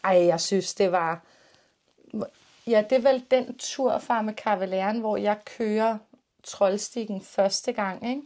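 A woman in her early forties co-hosts in a casual, conversational style. Her voice sits at a middle pitch, and she talks in loose, teasing banter. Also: a little mellow. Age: early 40s. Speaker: video podcast host. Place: small home office with bookshelves, indoors. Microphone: clip-on lavalier.

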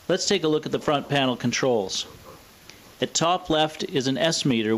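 A man presenting a scripted, explanatory product demonstration in a measured, matter-of-fact way. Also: medium pitch, a bit bright. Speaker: presenter of a vintage radio showcase video.

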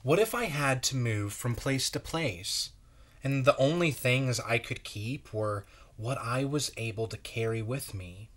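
A man in his thirties reading a children's picture book aloud in a measured, wondering way with pauses. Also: medium pitch, animated, fairly bright tone. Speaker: children's story reader. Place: small bright room at home, indoors.

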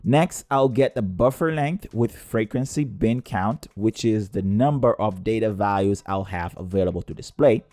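A man's voice: fairly low pitch, normal speed, hardly any pauses, a little mellow, no echo. A man narrating a scripted step-by-step coding tutorial in a methodical, explanatory way. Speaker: tutorial presenter.

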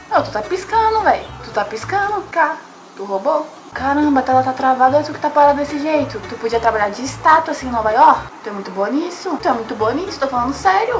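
A woman's voice: sits high, slight background noise. A young woman in her twenties with a Brazilian Portuguese accent, chatting with strangers on a webcam with teasing, sarcastic banter from her home kitchen. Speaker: YouTuber. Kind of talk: casual webcam chat with strangers. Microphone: laptop webcam mic.

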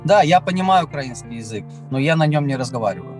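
A man speaking earnestly and emphatically outdoors by a sports pitch on a lapel mic. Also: expressive, quick pace, a little mellow, soft background music. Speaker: interviewee.

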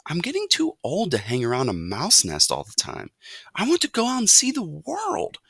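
A woman's voice: lowish voice, wildly swooping pitch, moderate pace, a bit bright, husky, dry recording, clean studio sound, voice pushed up, full character voice. A woman voicing a plucky, boastful young boy mouse in a children's storybook, eager and defiant.

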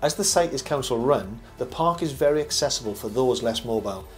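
An older man reading a scripted review voice-over as host, in a measured, formal presenter manner. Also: medium pitch, animated. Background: background music.

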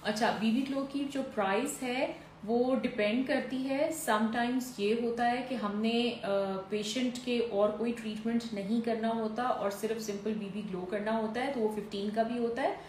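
A woman's voice: a little high, fairly even intonation, a little slow, darkish tone. A woman in her forties, a dermatologist on a live stream, reads viewer questions aloud and answers them in a conversational, advisory way with many "uh" fillers. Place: indoor room, seated in a fur-draped chair. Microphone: phone or camera on a stand, mid framing. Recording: echoey room, faint background hiss.

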